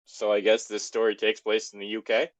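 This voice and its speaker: A man's voice: fairly low pitch, monotone, fairly quick, no room sound. A man from Texas co-hosting a casual story reading, joking, teasing and swearing. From Texas, United States. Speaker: co-host reacting to a story.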